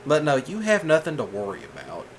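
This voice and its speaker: A man voicing a calm, patient rancher boyfriend in a soft-spoken ASMR roleplay, folksy and reassuring. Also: medium pitch, brisk, a little hiss or hum.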